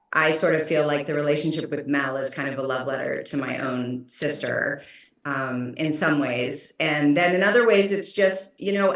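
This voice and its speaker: A woman's voice: low voice, a bit bright, heavy reverb, distant mic. A woman actor chatting on a video call, answering thoughtfully with many ums and you knows. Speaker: actor guest. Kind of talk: casual video call chat.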